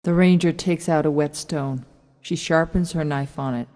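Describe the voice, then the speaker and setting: A woman's voice: low voice, steady tone, normal speed, dark tone. A woman narrating a radio drama, reading out the stage directions as a script. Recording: long hall-like reverb.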